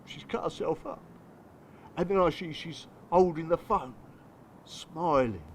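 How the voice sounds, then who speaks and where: A man's voice: fairly high pitch, measured pace, a little hiss or hum. An actor playing a bitter, working-class English father in a radio drama, confessing his hurt in blunt, colloquial, strained speech. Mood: anguished, agitated. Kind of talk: radio drama dialogue and monologue.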